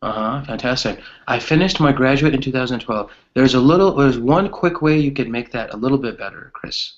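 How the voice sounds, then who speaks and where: Through dull, lo-fi audio, a man of medium pitch teaches English grammar. He explains with simple examples and encourages his students. He often ends a phrase with a brief checking question. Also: a little fast. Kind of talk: online group grammar lesson, explaining with examples.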